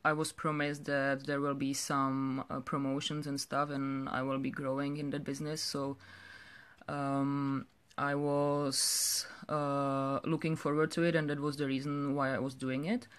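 A young woman with a Czech accent tells her personal story off the cuff, halting and repeating words. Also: very deep voice, flat delivery, clean tone. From Czech Republic. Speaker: direct sales director telling her story. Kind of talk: unscripted personal story to camera. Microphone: phone or webcam held close.

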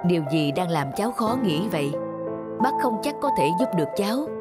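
A wise old man. He is kindly and reflective, counselling gently, with music clearly present behind him. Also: high voice, big pitch swings, brisk. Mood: gently concerned. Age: older. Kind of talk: animated drama dialogue.

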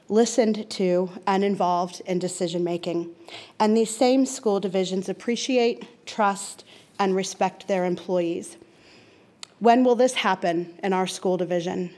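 A woman's voice: mid-range voice, dark tone. Earnest woman reading a statement. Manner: earnest, measured, emphatic lists. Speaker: teacher giving public comment. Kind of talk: reading a prepared statement at a podium. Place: large school board meeting room. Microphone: seated at a desk mic.

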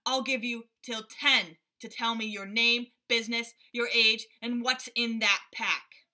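Woman doing a loud bossy gorilla. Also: on the high side, fairly even intonation, measured pace. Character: huge fierce flea-bitten male gorilla.

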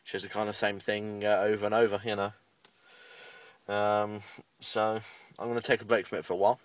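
A man's voice: on the low side, flat delivery, fairly quick, no room sound, distant mic. A British man giving a casual, matter-of-fact, rambling video update, recorded inside a parked car. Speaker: amateur radio hobbyist making a video update.

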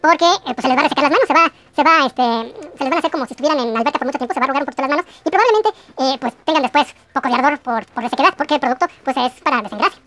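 Fast-talking Mexican woman off-camera. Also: sits high, a bit bright. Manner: rapid, chatty, off-camera.

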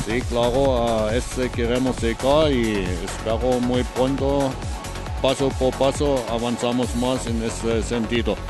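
Modest father, mid-pitched. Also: fairly even intonation, slow. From Germany. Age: middle-aged. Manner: brief, modest. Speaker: racer's father. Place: outdoors by a fountain. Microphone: interviewer's handheld mic. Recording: music bed under voices.

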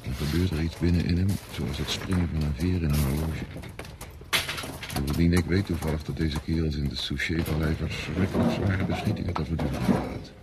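Deep-voiced, cynical veteran soldier. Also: male voice, fairly even intonation, a little fast. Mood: knowing, grim. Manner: knowing, explanatory, self-assured. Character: worldly-wise, cynical male veteran soldier, unhurried. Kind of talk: Dutch radio drama acting. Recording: noisy radio play recording, outdoor ambience.

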